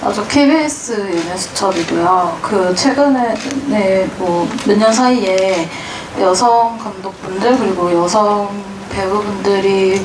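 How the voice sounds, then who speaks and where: A woman's voice: mid-range voice, unhurried, few pauses. Polite woman reporter in an echoey hall. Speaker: entertainment reporter. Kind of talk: asking a press question. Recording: echoey hall, distant mic, noisy room.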